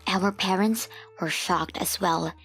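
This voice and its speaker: A woman's voice: on the low side, fairly even intonation, slow pace, a bit bright, husky, soft background music. A woman reading a scripted first-person story as the narrator of a manga dub.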